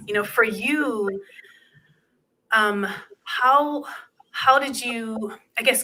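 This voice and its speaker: A woman hosting an interview show, asking gentle, searching questions with some hedging. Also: mid-range voice, rough recording. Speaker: show host.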